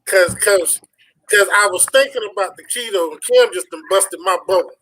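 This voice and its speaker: A man's voice: high voice, normal speed, pushed and bright, a little hiss or hum, rough recording. An American man from the audience asking questions in a casual, chatty way. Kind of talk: casual chat.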